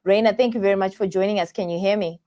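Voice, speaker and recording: A woman's voice: mid-range voice, fairly even intonation, racing, warm tone. A woman hosting a live call-in show, talking with many fillers and restarts as she takes viewers' calls. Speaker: host taking viewer calls.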